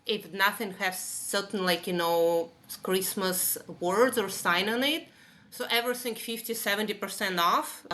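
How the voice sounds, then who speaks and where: A woman's voice: mid-range voice, sharp tone. A woman from Russia with a Russian accent, chatting as a podcast guest in non-native English phrasing. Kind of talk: casual conversational interview.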